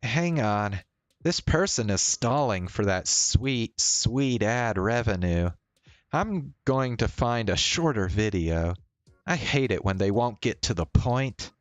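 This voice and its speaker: A man's voice: lowish voice, animated, takes it slowly, no echo. A man narrating a visual novel aloud as a streamer.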